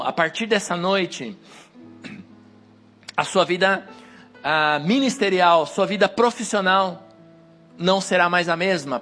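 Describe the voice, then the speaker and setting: A man preaching a sermon to a congregation on a handheld wireless mic, hoarse from a cold, exhorting and rising to emphatic peaks. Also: high voice, a bit bright.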